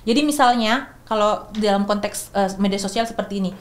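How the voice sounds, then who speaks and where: A woman's voice: mid-range voice, fairly quick. Young woman from explaining. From Makassar, Indonesia. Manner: thoughtful, many restarts and fillers. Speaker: guest speaker. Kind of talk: explanatory podcast talk.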